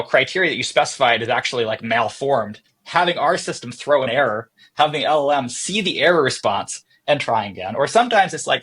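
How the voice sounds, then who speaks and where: A man with a slightly breathy, husky voice and a middling pitch talks as a podcast guest. He explains technical ideas casually and conversationally, like a founder walking someone through his work. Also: fairly bright tone. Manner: explanatory, casual, acting out thoughts as quotes. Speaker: interview guest, software company founder and chief executive.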